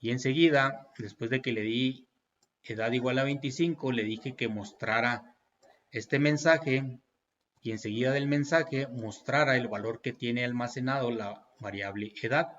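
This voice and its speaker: A man's voice: medium pitch, unhurried, fairly bright tone, muffled recording. A man teaching programming in a tutorial, walking through each step patiently with fillers and self-corrections. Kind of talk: screen-recorded tutorial walkthrough.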